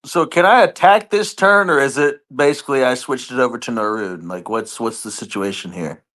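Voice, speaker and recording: A man's voice: medium pitch, normal speed, fairly bright tone. A man chatting casually as a player in a tabletop role-playing game.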